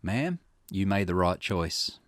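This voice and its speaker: A calm male investigator, acted out by a man in a low voice. He is a visual novel character, measured and polite, giving earnest counsel. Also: dry recording, studio quality, exaggerated voice.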